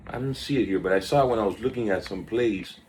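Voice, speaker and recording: A man's voice: on the low side, quick pace, darkish tone, slight background noise, poor audio. A man hosting a casual stock market video, chatty and drifting off topic while reading out stock moves, recorded on a phone. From South Florida. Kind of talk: casual rambling market commentary.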